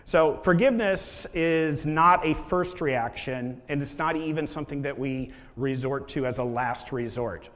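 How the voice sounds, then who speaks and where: A man's voice: fairly high pitch, measured pace, few pauses, a bit bright. A man in his thirties preaching a sermon as pastor, chatty, with rhetorical questions and acted-out inner thoughts. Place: church sanctuary with a stage. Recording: long reverb of a church hall.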